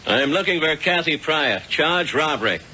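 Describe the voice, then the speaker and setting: Forceful, blunt policeman. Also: fairly high pitch, expressive, moderate pace, breathy edge, heavily acted. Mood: commanding. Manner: blunt, authoritative. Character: brisk, commanding policeman, practical and protective. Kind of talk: 1970s radio drama acting. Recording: muffled old radio, faint noise.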